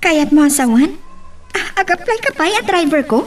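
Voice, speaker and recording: A woman's voice: high voice, expressive, moderate pace. A woman voice-acting a coy, puzzled young woman being courted in an Ilocano love drama.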